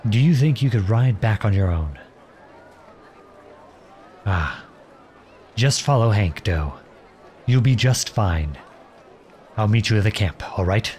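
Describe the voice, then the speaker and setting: A man playing an earnest, protective young outlawed prince in an audio roleplay, with a gentle, unhurried, folksy manner. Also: on the low side, animated, slight background noise. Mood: gentle, reassuring. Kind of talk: ASMR roleplay acting.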